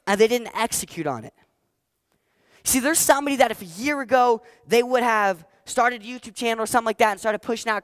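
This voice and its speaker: A fourteen-year-old boy giving a motivational talk on stage, energetic and emphatic, repeating his key phrases. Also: sits high, clear, resonant tone, no echo, studio quality. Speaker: motivational speaker. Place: stage before a live audience.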